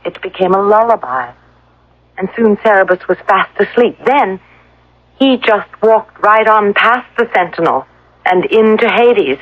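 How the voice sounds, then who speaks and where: A woman's voice: medium pitch, expressive, measured pace, no room sound. A woman acting in a radio drama as a determined captive, coaxing and probing with insistent persuasion. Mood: persuasive. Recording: dull, dry lo-fi radio broadcast.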